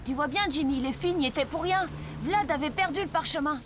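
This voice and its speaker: Woman playing a high-voiced, earnest cartoon kid. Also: a little fast, noisy background, natural voice. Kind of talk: French cartoon dub.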